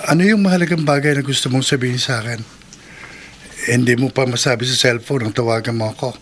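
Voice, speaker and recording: A man's voice: mid-range voice, fast talker, fairly bright tone, a little hiss or hum, natural voice. A man playing a brooding, loyal young Filipino aswang, with earnest, emotional horror radio drama delivery. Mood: puzzled.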